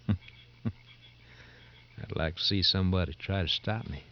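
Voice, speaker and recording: A man's voice: sits low, slow. An actor playing a laconic, stubborn sheriff's deputy talking with his lover in a 1950s radio drama. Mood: dry, teasing. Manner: laconic, terse. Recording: dull, faintly noisy 1950s radio recording.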